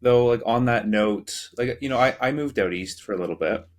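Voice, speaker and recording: A man's voice: mid-range voice, normal speed. A man hosting a podcast, asking questions in a casual, conversational way. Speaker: podcast host. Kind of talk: podcast interview.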